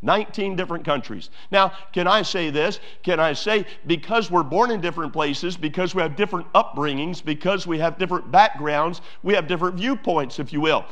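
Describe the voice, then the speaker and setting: A man with a somewhat high voice delivers a sermon to his congregation. He preaches with emphasis, repeating key phrases and stopping for fillers as he goes. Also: a little fast, few pauses, fairly bright tone. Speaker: pastor.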